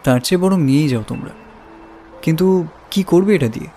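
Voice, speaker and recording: Guy doing evasive shopkeeper, kinda high voice, defensive, music behind, audio drama. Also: fairly quick, warm tone, close to an everyday voice. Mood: casual, wary. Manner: defensive, colloquial. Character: wary, evasive male roadside shopkeeper. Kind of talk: audio story drama acting.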